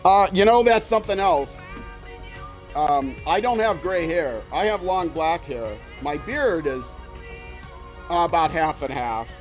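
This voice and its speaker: Older man, young-sounding, slightly high voice, rambling game-stream chatter, fillers, faint background music. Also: expressive, unhurried. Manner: rambling, chatty, thinks aloud with many fillers. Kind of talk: casual livestream commentary while playing.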